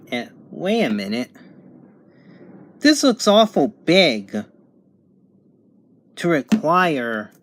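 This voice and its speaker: A man giving a casual, unscripted product review at home, thinking aloud in halting phrases. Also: medium pitch, no echo. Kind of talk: casual unboxing and review narration. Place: home bedroom, indoors.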